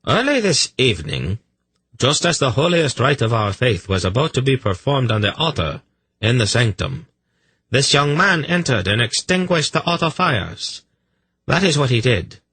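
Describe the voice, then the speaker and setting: A strident fantasy inquisitor performed by a man, raised in pitch and rough-edged. He hammers out accusations with rigid zeal. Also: sharp tone, dry recording, full character voice, poor audio. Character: zealous male priest inquisitor, rigid and accusing.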